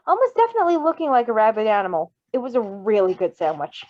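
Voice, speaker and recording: A woman's voice: a little high, huge pitch swings, fast talker, dry recording, lo-fi sound. A woman reading fan fiction aloud and chatting about it casually as a co-host. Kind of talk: story reading, then casual excited chat.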